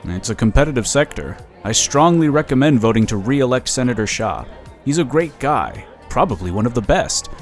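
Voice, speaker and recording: Man playing a glib, animated political salesman. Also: close to an everyday voice. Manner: persuasive, glib sales pitch. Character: middle-aged human political operative, smooth, glib, eager salesman of a senator. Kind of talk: audio drama acting.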